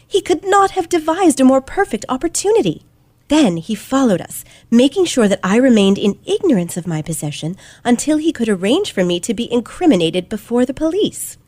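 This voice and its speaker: A woman playing a confident, sharp teenage girl detective in an old-fashioned radio play, with precise, theatrical delivery. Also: a little high, dry recording. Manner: theatrical, precise, dramatic emphasis.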